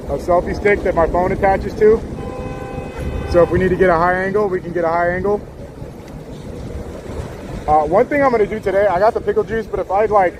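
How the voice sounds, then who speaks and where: A man with a hoarse, worn-out voice chatting casually to his live-stream viewers outdoors on a city street. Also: high-pitched. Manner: chatty, laid-back, talks straight to viewers. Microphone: small clip-on mic. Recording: loud street noise outdoors.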